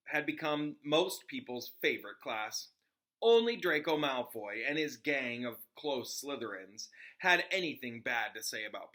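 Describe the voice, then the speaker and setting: A man with a bright, crisp-edged tone at a middling pitch reads a children's novel aloud like a classroom teacher. His delivery is informal, moving between narration and casual explanations for his listeners. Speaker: teacher reading aloud to students.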